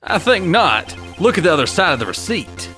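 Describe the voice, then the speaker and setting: A man playing an earnest, easily flustered young defense lawyer in an expressive game-fandub style. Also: on the high side, moderate pace. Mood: determined. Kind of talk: game fandub courtroom drama. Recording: music behind the voice.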